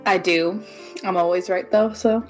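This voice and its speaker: A woman's voice: lowish voice, fairly even intonation, unhurried, darkish tone, lightly acted. A woman playing a smug, brainy student sidekick with a dry, teasing manner in an improvised audio drama. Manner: dry, teasing, matter-of-fact. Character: smug, brainy female student, sardonic know-it-all sidekick. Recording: faint music behind the voice.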